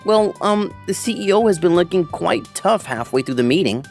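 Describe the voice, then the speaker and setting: A man's voice: high voice, lively intonation, normal speed, gravelly, faint music behind. Man as a whiny, scheming office rival. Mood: flustered, disbelieving. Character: scheming, resentful male office rival, whiny and flustered when caught. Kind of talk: manga dub voice acting.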